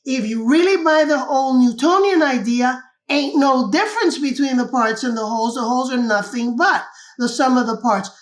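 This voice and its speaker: Older woman from cuba, high pitched, explaining stuff in an interview, keeps asking "correct?". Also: moderate pace, hardly any pauses. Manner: thoughtful, checks in with "correct?". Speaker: guest author.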